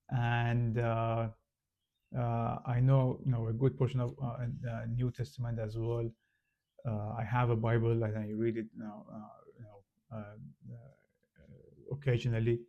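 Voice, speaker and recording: A man from Iran with a Persian accent, a native Farsi speaker, casually explaining to the camera with many pauses, fillers and restarts. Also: mid-range voice, highs cut off. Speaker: channel host.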